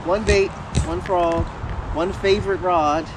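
A man vlogging while fishing at a marshy pond, talking excitedly to his viewers and his child. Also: high-pitched, unhurried. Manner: excitable, talks to viewers as "guys". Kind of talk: casual outdoor vlog narration. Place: outdoors by a marshy pond in woods. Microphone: body-worn action camera. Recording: outdoor camera with clear background noise.

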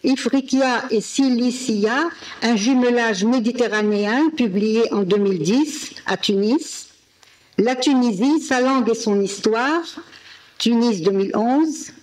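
A woman moderator reading a speaker's biography from notes at a desk mic, with pauses and fillers. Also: fairly high pitch, a little mellow, low-quality recording. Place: ornate hall indoors. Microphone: desk gooseneck mic.

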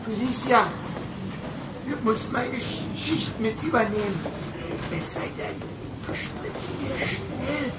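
An actor playing a feverish, rough-spoken man who is strained and gravely ill, in a radio drama. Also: extremely high pitch, slow, recorded from a distance, heavy background noise. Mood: weakly sarcastic.

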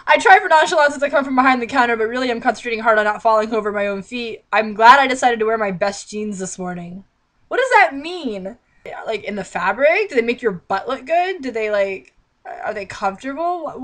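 Young female vlogger, fairly high pitch, theatrical and exasperated, reading aloud with mocking commentary. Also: animated, fairly quick, pushed and bright. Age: young adult. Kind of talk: mocking read-aloud with sarcastic running commentary. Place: small indoor bedroom.

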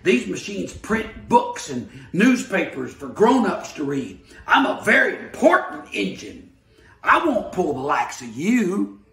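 A man's voice: sits high, very animated, a little slow, vocal fry, fairly bright tone, full character voice. A man voicing a big, proud male freight engine who talks in a pompous, boastful way. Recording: roomy, from the mic.

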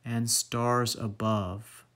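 A man leading a guided meditation as instructor, speaking in measured phrases with long pauses. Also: mid-range voice, little pitch movement, fairly bright tone.

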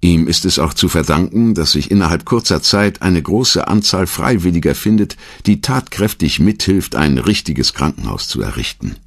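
A man reading a scripted documentary narration in a measured, dramatic storytelling manner. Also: sits low, lively intonation, creaky, dry recording, studio quality.

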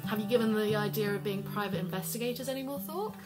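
A woman's voice: mid-range voice, fast, over loud music, lightly acted. A woman playing a curious, teasing podcaster and amateur sleuth in a conversational audio drama. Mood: questioning.

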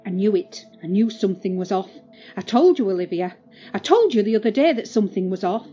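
A woman's voice: medium pitch, expressive, a little fast, dark tone, slightly rough, dull audio. A woman playing a firm, anxious mother in an audio drama. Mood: alarmed.

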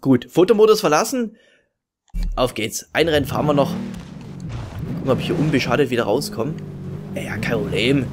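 A man's voice: medium pitch, lively intonation, normal speed, pauses often. A man with a regional German dialect doing casual, self-mocking let's-play commentary while racing in a game. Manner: chatty, self-mocking, rambling. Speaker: gaming video host. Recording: loud game music and engine noise behind.

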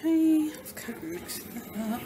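A chatty woman in her thirties talks through a recipe in a roomy home kitchen, her slightly high voice caught by a camera mic across the room. She jokes at her own slips as she works, and pots and utensils clatter behind her. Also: lively intonation, normal speed, far from the mic, heavy background noise. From American South. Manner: chatty and self-deprecating, talking while working. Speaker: home cooking vlogger. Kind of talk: casual cooking demonstration. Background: clinking pots, pans and utensils.

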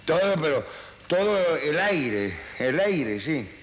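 A man's voice: fairly high pitch, big pitch swings, slow pace, a little hiss or hum. A man, a radio writer, delivering a rambling, theatrical lament on a reverberant old film soundtrack. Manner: rambling, dramatic, repetitive.

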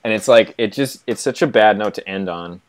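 A man's voice: fairly low pitch, moderate pace. A young man co-hosting a casual video-call chat, speaking loosely with lots of filler "like". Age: young adult. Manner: loose speech heavy with "like". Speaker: co-host of a track review stream. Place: home room on a group video call. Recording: music playing behind the voice. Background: plucked guitar music.

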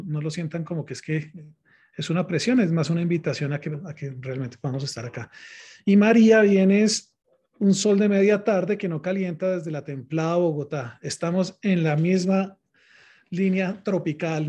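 A man's voice: a little high, dark tone. A middle-aged man with a Colombian accent, born in Mexico, hosting an online talk and greeting each participant by name over a headset. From born in Mexico, raised in Colombia. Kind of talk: relaxed video-call welcome. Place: home room with closed blinds. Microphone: headset on a video call. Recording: muffled video-call audio.

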